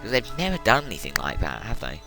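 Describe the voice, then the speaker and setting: A man's voice: fairly low pitch, huge pitch swings, fast, creaky. A young man giving rambling, casual commentary while playing a video game. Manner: chatty, rambling, self-interrupting. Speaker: let's play gamer. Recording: game music loud behind the voice. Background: game music.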